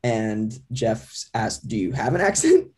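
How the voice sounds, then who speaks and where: A man's voice: lowish voice, huge pitch swings, unhurried, a little mellow. An American man in his thirties chatting casually and telling anecdotes as an interview guest. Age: 30s. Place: room with poster, video call.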